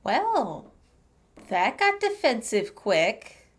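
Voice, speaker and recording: A woman's voice: a little high, sing-song, very slow, breathy edge. A woman giving casual, playful, quippy commentary while playing through a game. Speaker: game streamer.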